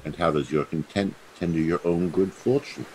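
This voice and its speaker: An actor playing a scheming, treacherous usurping duke in a Shakespearean radio play, theatrical and insinuating. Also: male voice, low voice, fairly even intonation, normal speed, darkish tone, slight background noise, close to an everyday voice, rough recording. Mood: coldly plotting.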